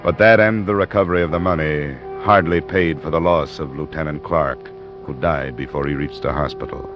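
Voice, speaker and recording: Low-voiced wry radio detective. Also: male voice, moderate pace. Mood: somber, terse. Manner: dry, wisecracking, terse. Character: wry, hard-boiled male insurance investigator narrating his cases. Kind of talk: 1940s-50s radio detective acting and narration. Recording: dull vintage radio recording with music.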